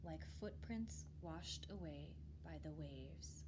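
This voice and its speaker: Slow, soothing woman reading to children. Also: sits low. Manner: calm, measured, soothing, with soft breathy phrase endings. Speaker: children's librarian reading at storytime. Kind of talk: read-aloud guided meditation for children. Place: library room with bookshelves, indoors. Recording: clear steady background room noise.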